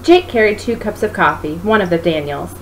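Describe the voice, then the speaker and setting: A woman reading a story aloud as narrator, in an expressive style. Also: medium pitch, a little fast. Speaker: author reading own book. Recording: noisy home recording.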